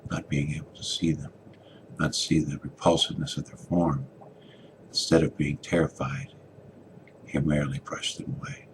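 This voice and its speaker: A man giving a dramatic reading of a story, theatrical, moving between hushed and forceful delivery. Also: little pitch movement, moderate pace, pauses often, background noise. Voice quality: very deep, gravelly. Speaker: author reading a short story.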